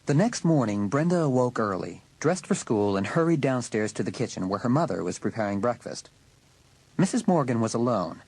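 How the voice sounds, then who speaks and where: A man narrates an audiobook drama from a script. His voice is husky, at an average male pitch. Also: lively intonation, brisk, darkish tone, no echo, poor audio.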